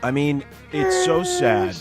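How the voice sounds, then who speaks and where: A man's voice: on the high side, over loud music. A man co-hosting a sports radio show with a stuffy nose, talking casually and laughing easily. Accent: American. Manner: fast, animated, laughs easily.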